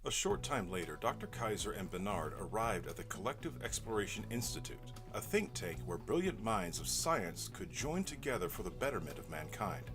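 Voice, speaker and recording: A man's voice: fairly low pitch, very animated, moderate pace, few pauses. A man delivering dramatic scripted narration in a theatrical, mock-serious way. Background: music.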